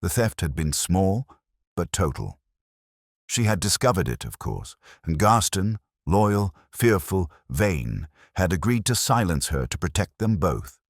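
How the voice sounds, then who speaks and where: A man reading a first-person detective story in measured, deliberate, clipped phrases. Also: deep voice, sing-song, no room sound, clean studio sound. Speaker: narrator.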